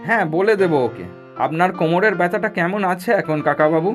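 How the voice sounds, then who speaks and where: A man voicing a kind, dutiful village food-hotel owner in a cartoon, earnest and expressive. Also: high-pitched, quick pace, soft background music, natural voice. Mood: respectful. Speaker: cartoon voice actor. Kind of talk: Bengali cartoon dubbing.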